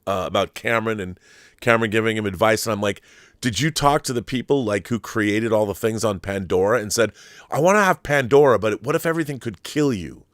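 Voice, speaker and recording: A man's voice: lowish voice, brisk, a bit bright, no room sound, studio quality. A man hosting a film commentary show, talking in a casual, opinionated monologue with an effusive, emphatic, rambling manner and some swearing.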